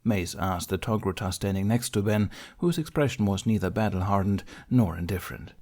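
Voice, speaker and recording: A man's voice: sits low, a little fast, darkish tone. A man reading scripted fiction as an audiobook narrator.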